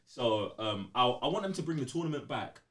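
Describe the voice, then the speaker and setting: A man's voice: medium pitch, expressive, fairly quick, noisy background. A young man with a London accent chatting casually on a gaming podcast in slangy, emphatic, informal speech.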